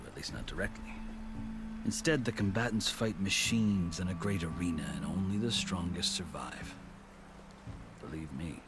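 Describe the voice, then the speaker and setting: A man playing a seasoned, proud warrior turned lawgiver in a video game, telling his tale in measured, formal, eloquent phrases. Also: low voice, background noise. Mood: grave storytelling. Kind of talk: scripted video game cutscene dialogue. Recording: music behind the voice.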